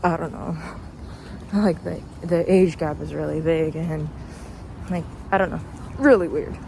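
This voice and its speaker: Slow-paced young woman rambling over street noise. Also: sits low, mellow. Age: young adult. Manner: informal, hesitant, trailing thoughts with frequent 'like'. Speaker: vlogger. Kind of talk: casual rambling commentary. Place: outdoors, walking along a street. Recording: noisy outdoor recording with traffic ambience. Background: clear street and vehicle noise.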